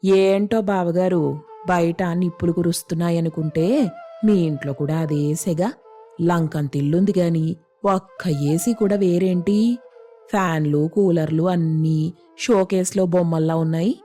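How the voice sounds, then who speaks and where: A woman's voice: lowish voice, measured pace, mellow, faint music behind, close to an everyday voice. A woman playing a haughty, demanding older female in-law who taunts her hosts in a comic story. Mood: taunting. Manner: taunting, sarcastic, bossy. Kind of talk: comic moral story voice acting.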